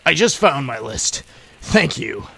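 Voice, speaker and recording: An actor putting on the gravelly voice of a police detective on a telephone call in an old time radio drama, official but halting. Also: male voice, mid-range voice, very animated, fairly bright tone, pitched above the performer's own voice, heavily acted. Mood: flustered. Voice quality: gravelly, forced.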